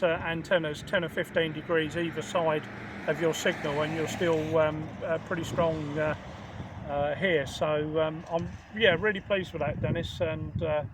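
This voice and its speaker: A British man speaking as an amateur radio operator at an outdoor portable station, in a measured, halting way. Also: a little high. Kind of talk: casual two-way radio contact. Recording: very noisy outdoor recording.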